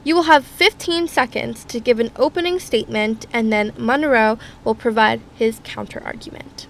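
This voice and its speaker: A composed female debate moderator played by a woman speaks in a fairly high voice. She is formal and orderly, directing speakers in an audio drama, with faint noise in the background. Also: moderate pace, gravelly. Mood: composed, firm.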